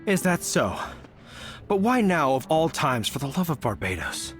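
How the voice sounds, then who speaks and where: A man's voice: a little high, big pitch swings, normal speed, fairly bright tone. A man playing a flustered, suspicious, dutiful knight in a video game, fussy and overly polite, with music behind him. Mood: exasperated suspicion.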